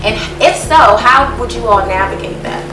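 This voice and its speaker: A woman co-hosting an interview, asking quick, inquisitive questions. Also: on the high side, animated. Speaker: co-host. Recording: echoey room, distant mic, music.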